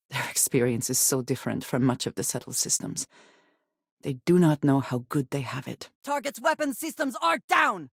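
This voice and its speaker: Guarded, gravelly woman space explorer. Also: extremely low pitch, a little mellow, dry recording, clean studio sound, heavily acted. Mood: urgent, shouting. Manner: formal, measured, deliberate phrasing. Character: guarded, intense female space explorer with devout loyalties. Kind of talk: video game character dialogue.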